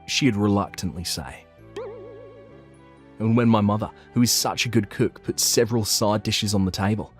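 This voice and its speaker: A man's voice: lowish voice, moderate pace, soft background music, natural voice. A man playing an earnest, anxious young office worker who narrates his own story in a manga dub. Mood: narrating, sympathetic.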